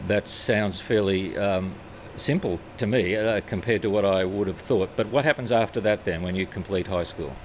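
A woman, interviewing at a regional airport, who asks unhurried questions and gives brief prompts. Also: very deep voice, slight background noise.

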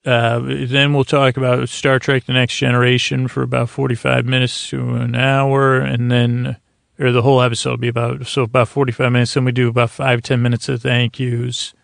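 A male podcast host with a gravelly, fry-laden voice talks in a dry, close recording. He meanders and restarts through a self-deprecating bedtime monologue, circling around his point in a rambling way. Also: mid-range voice, monotone, moderate pace, dry recording. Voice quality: gravelly, creaky.

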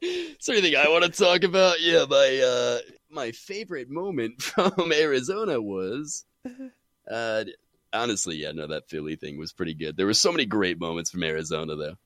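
A man chatting casually about games as a podcast guest, excitable and saying "dude" often. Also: mid-range voice, moderate pace, no echo, clean studio sound. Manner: excitable, says "dude" a lot. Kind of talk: casual gaming chat.

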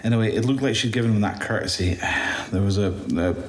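A man playing a shaken, bitter apocalypse survivor in an audio drama monologue, halting, stammering and swearing as he recounts his ordeal. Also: fairly low pitch, lightly acted. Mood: grim, bitter. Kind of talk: first-person audio drama monologue, confessional. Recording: roomy echo, faint background noise.

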